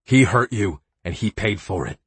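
A man's voice: fairly low pitch, animated, normal speed, slightly rough, dry recording. A man voicing an obsessive, violent young man who growls and spits threats. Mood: menacing.

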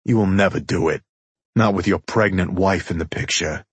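A man's voice: deep voice, moderate pace, slightly rough, dry recording. Man doing a proud, taunting older mobster. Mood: defiant, taunting. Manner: formal, mocking, defiant.

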